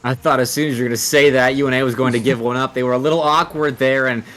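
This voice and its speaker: A man's voice: mid-range voice, fairly even intonation, fast, a bit bright. A man giving live analytical commentary on a video game match, explaining play in long sentences. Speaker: esports commentator, analyst caster.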